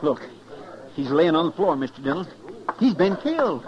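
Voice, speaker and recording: An actor playing an eager, deferential frontier deputy with a folksy rural American drawl, in a noisy, muffled 1950s radio western. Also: male voice, on the high side, very animated, normal speed. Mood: excited, deferential.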